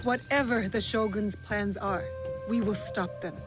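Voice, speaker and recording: A woman's voice: medium pitch, steady tone, measured pace, over music, natural voice. A woman playing a determined, vengeful young shinobi in a game cutscene, speaking in a terse, resolute way. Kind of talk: dramatic video game cutscene acting.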